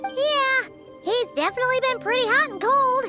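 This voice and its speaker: A woman's voice: extremely high pitch, moderate pace, loud music behind the voice. A woman voicing a tiny, chirpy, blunt and childlike fairy companion in a video game. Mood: concerned.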